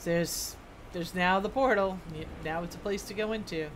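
Musing woman streamer over noisy game sound. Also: on the low side. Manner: musing, halting, talking to herself. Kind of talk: casual gameplay commentary, reading quest text aloud. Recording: clear game ambience and noise. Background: video game ambience.

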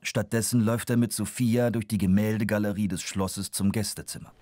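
A man's voice: fairly low pitch, fairly even intonation, fairly quick, mellow. A man narrating a scripted children's audio story.

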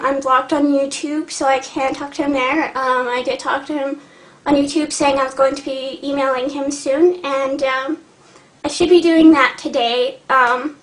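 A young woman talking to camera in a casual vlog, hesitant and earnest, with many pauses and fillers. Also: sits high. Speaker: vlogger. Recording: echoey room.

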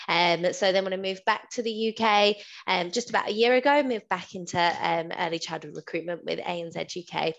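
A woman's voice: on the low side, vocal fry, fairly bright tone, highs cut off. A woman recruiter introducing herself on a webinar, cheerful and giggly with filler ums. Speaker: early childhood recruiter. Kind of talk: casual self-introduction.

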